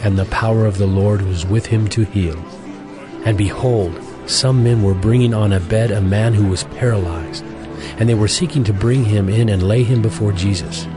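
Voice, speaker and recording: A man delivers scripted Bible narration in a fairly low voice. Music plays clearly behind his reading. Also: moderate pace.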